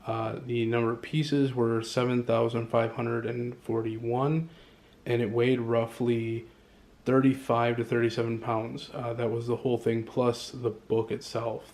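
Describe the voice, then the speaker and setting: A man vlogging casually from his condo, rambling and pausing often to find his words. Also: medium pitch, darkish tone. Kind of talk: casual show-and-tell vlog. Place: indoors at home in a condo.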